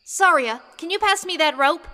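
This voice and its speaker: A woman's voice: extremely high pitch, expressive, moderate pace, pushed and bright, big-room sound, pitched above the performer's own voice, exaggerated voice. A woman voicing a blunt, plucky young boy hero in a story, casual and easily annoyed. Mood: brisk.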